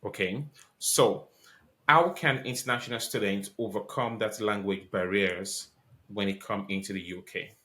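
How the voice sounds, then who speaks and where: A man's voice: fairly low pitch, fairly even intonation, moderate pace, pushed and bright. A man hosting a podcast interview, asking questions politely with many ums and restarts. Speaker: podcast host.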